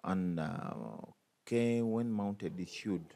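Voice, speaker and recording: Low, mellow man talking through code. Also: slow. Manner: thinks aloud, many fillers and restarts. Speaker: programming tutor. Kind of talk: screen-recorded coding tutorial.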